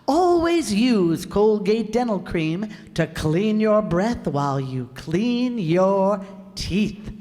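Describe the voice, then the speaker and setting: Unhurried woman announcer in echoey hall. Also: medium pitch, sing-song, mellow, soft background music. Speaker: commercial announcer. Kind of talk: 1940s radio advertisement reading. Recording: very echoey hall stage recording.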